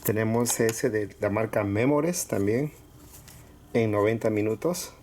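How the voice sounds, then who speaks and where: A man casually presenting items to viewers in short, halting phrases with pauses. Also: fairly low pitch, a little mellow. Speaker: collector showing cassettes for sale. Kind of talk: casual product showcase. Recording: clear background noise with clicks.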